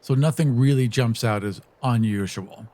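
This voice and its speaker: A man's voice: mid-range voice, moderate pace, mellow. A man talking casually at the game table as a player in a tabletop roleplaying game.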